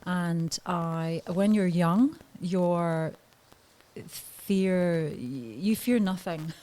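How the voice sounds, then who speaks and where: Slow-spoken woman guest. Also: lowish voice, warm tone. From Scotland. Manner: candid, laughs often, hesitant with many ums. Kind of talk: podcast interview.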